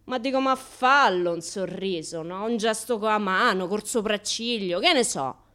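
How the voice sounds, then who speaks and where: An actress plays a young woman with a Roman accent who insists on her own innocence in a radio theatre monologue. Her medium-pitched voice is chatty and indignant. She slips from hushed, confiding asides into louder, challenging rhetorical questions. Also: moderate pace, lightly acted. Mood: exasperated, outraged. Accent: Roman Italian. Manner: colloquial, rhetorical questions, swinging between hushed asides and loud outbursts. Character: self-styled naive young woman, defiant, chatty, indignant.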